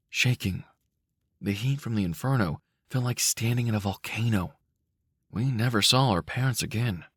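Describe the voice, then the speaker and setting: A man giving a measured, cinematic, dramatic narration of a dark short story. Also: fairly low pitch, no room sound, studio quality. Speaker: audiobook-style story narrator.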